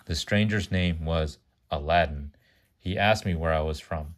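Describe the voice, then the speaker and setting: A man's voice: rumbling low, unhurried. A man reading a children's storybook aloud to a child, stumbling over words now and then, correcting himself and adding chatty asides.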